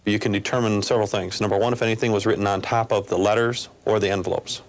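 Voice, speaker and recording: A man's voice: lowish voice, steady tone, quick pace. A man explaining evidence in an interview as an expert, methodically going point by point. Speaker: document examiner interviewed as expert.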